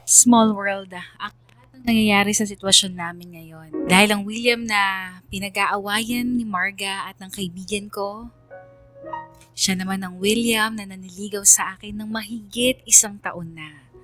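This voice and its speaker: A woman's voice: medium pitch, fairly even intonation, unhurried, music in the background, close to an everyday voice. An actress playing a troubled young woman who narrates her own story in a radio drama, confiding and earnest. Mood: uneasy, troubled.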